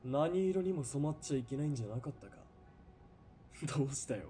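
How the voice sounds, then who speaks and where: A man playing a ruthless, greedy military police commander in a period radio drama, domineering and sneering, moving between cold murmurs and shouting. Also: medium pitch, animated, a little fast, slight background noise, close to an everyday voice. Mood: mocking.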